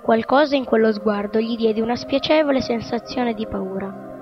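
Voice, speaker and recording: A woman's voice: on the high side, moderate pace. A woman narrator reading scripted narration for a radio drama. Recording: dull, lo-fi radio drama sound. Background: music.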